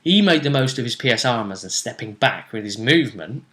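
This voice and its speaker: A man's voice: mid-range voice, lively intonation, a little fast, bright, forceful tone. A man, a game master, casually recapping a tabletop role-playing match in a rambling, storytelling way. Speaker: game master and show host.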